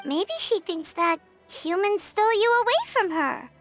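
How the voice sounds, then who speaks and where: Game role: tiny inquisitive fairy sidekick, extremely high, animated delivery. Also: female voice, unhurried, soft background music, full character voice. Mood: thoughtful. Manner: chirpy, inquisitive. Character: small childlike fairy companion, chirpy and inquisitive. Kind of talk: scripted video game dub acting.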